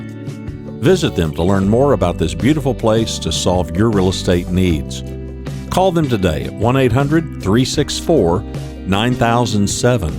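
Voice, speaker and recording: A man reading scripted sponsor messages as a podcast announcer. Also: fairly low pitch. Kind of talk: scripted ad read.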